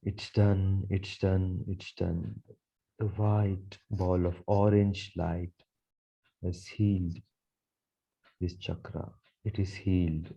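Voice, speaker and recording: A man leading a guided meditation in a soothing, measured manner, repeating phrases as he goes. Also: low voice, level tone, vocal fry, mellow, slightly rough, lo-fi sound. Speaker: guided meditation leader, Reiki teacher.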